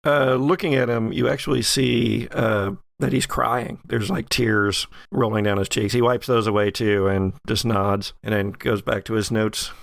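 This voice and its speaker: A man narrating a character's actions in an improvised tabletop game, descriptive and halting. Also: fairly low pitch, steady tone, vocal fry, dry recording.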